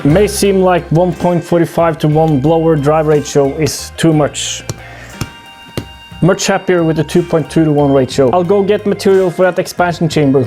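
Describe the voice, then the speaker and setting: High-pitched man explaining technical work. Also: mellow. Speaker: host of a DIY engine-building channel. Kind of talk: explanatory workshop vlog narration.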